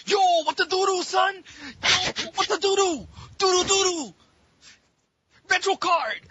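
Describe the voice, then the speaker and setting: A man doing a silly impression of an excitable trash-talking male gamer repeating catchphrases, on a dull recording. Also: very high-pitched, slow, noisy background, pitched above the performer's own voice. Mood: silly, excited.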